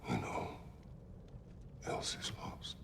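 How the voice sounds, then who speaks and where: An actor playing a weary, remorseful old war god in a video game cutscene, speaking solemnly and haltingly in a gravelly, breathy voice. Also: male voice, close to an everyday voice. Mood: solemn, reflective. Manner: halting, solemn, weighty pauses. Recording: long reverberant hall, faint noise.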